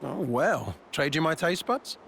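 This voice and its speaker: A man's voice: fairly high pitch, lively intonation, unhurried, creaky, a bit bright, a little hiss or hum, heavily acted. A man voicing an easygoing, casually bantering military officer in a video game. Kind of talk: scripted video game voice acting.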